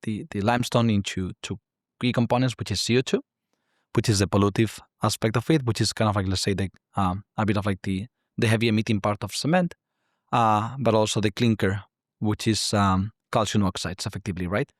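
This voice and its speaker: A lowish-voiced man with a Spanish accent talks through a casual interview. He strings his points together with constant "like" fillers and a questioning "right?". The sound is dry and close. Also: steady tone, brisk, no echo. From Spain. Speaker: guest, climate tech investor.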